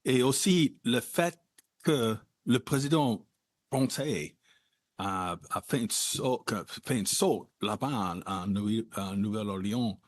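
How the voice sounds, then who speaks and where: A man hosting a conversational interview, talking in an animated way with wide jumps in pitch. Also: slow, no room sound. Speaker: podcast host.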